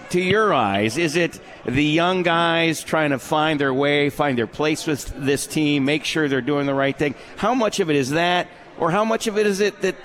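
A man co-hosting live sports radio, putting long, deliberately rephrased questions to a guest. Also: a little high. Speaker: sports radio co-host, interviewer. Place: tavern and grill, live remote broadcast.

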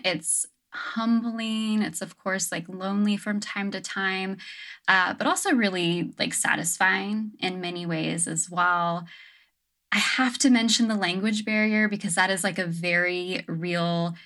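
A woman's voice: mid-range voice, fairly even intonation, takes it slowly, fairly bright tone. A young adult woman talking casually as an interview guest, reflective and thoughtful, with frequent "um" and "like". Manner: reflective, many fillers like "um" and "like". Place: her home on an island.

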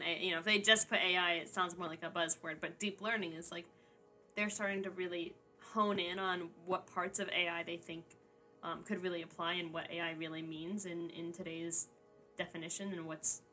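A young woman co-hosting a casual science podcast, talking in an animated way with quick asides. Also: on the low side, soft background music. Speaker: podcast co-host. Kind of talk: casual chat.

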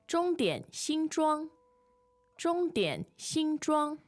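A woman's voice: high-pitched, big pitch swings, extremely slow, no echo. A woman co-hosting a language lesson in a conversational teaching style, often agreeing and repeating key phrases.

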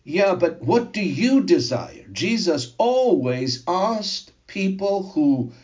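An older man whose first language is Greek, preaching a sermon and telling personal testimony in a slow, emphatic way. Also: high voice, sing-song, a little mellow, lo-fi sound. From Zimbabwe.

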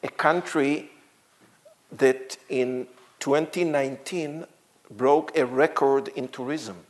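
A man in his sixties with an Israeli accent, giving a church talk as a visiting guest speaker, halting with many fillers and light laughs. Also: mid-range voice. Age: 60s. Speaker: guest speaker, tour guide.

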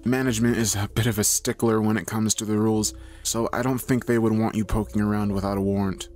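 A man's voice: on the low side, level tone, quick pace, a little mellow, close to an everyday voice. A man playing an anxious, evasive husband in an audio story, stammering and hesitant as he pleads. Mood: nervous, evasive. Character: nervous husband lying to police, anxious and evasive.

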